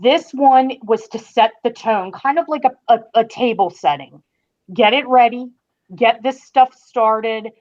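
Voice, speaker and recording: A woman talks through a TV review as a guest, in an unhurried, emphatic way. She takes her time between phrases. The sound is dull and lo-fi, like a call. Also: on the high side, darkish tone. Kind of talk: casual TV review discussion.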